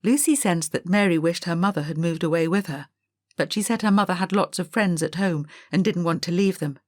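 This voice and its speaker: A woman narrates a children's story. Her voice sits a little low for a woman, and the recording is dry, as for an audiobook. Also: brisk, no room sound.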